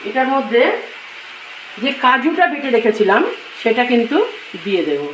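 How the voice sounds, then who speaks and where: A woman hosting a cooking channel, giving recipe instructions in a measured, step-by-step way in short phrases. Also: fairly high pitch, lively intonation, a little hiss or hum. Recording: roomy echo, music behind.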